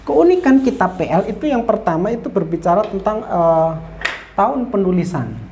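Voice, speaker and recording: A woman's voice: medium pitch, big pitch swings, moderate pace, dark tone, a little hiss or hum. A woman giving an informal lecture as a Bible teacher, explaining point by point and repeating herself with small check-in tags. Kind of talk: informal lecture explaining Old Testament introduction.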